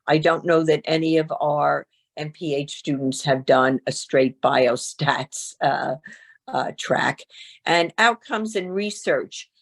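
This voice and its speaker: A woman faculty member presenting at an online information session over a video call, speaking in a halting way with frequent filler sounds and restarts. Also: sits low, vocal fry.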